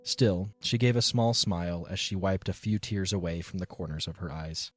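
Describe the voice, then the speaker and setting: Lowish male audio drama narrator. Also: normal speed, faint music behind. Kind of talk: scripted dramatic story narration.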